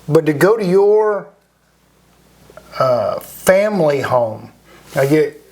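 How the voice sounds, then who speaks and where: A man hosting a casual conversational interview, talking in a rambling, reflective way. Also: on the high side. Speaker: interview host.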